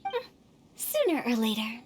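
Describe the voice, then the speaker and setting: A woman playing a quirky, playful young funeral director in an animated game, with theatrical, chatty, cheerfully morbid patter. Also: a little high, a little slow. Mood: proud. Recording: soft background music.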